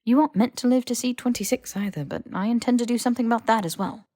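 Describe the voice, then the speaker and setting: A woman's voice: a little high, quick pace, dark tone, dry recording, studio quality, pitched above the performer's own voice, lightly acted. A woman voicing a stubborn, teasing young male wizard in his twenties, casual and cheeky, for an audiobook. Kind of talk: audiobook character voice.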